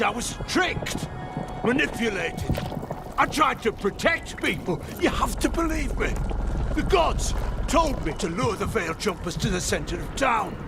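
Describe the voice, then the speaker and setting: Loud music runs behind a desperate village mayor in a fantasy game. His high-pitched voice swings widely as he pleads and justifies himself, guilt-ridden and halting. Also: gravelly, heavily acted. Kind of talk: video game cutscene acting.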